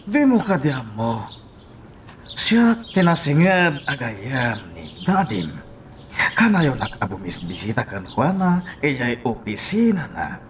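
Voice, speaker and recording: A man's voice: medium pitch, big pitch swings, slow, slight background noise. A man with an Ilocano accent playing a stern, scolding older father figure in a radio drama. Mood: impatient, scolding.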